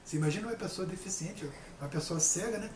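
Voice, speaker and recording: A man's voice: sits high, fast, a little mellow. A man, middle-aged, giving an informal talk as a lecturer, earnest and emphatic, repeating phrases for stress. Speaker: university lecturer and guest speaker. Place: indoor room with people present. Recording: echoey room, distant mic, faint noise.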